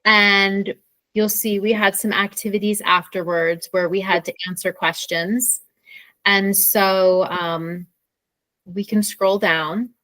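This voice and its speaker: A woman's voice: mid-range voice, steady tone, slow, sharp tone, no room sound, low-quality recording. An American woman co-hosting an informal English lesson in a conversational teaching style. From Pennsylvania, USA.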